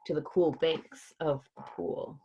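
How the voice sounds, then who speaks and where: A woman reading a storybook aloud in an expressive narrating style. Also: sits low, takes it slowly, gravelly, highs cut off, background noise. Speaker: story reader.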